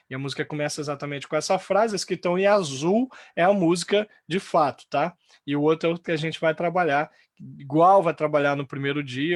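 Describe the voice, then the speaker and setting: A Brazilian man teaching an online English lesson, lively and full of fillers and restarts. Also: a little high, no room sound, clean studio sound.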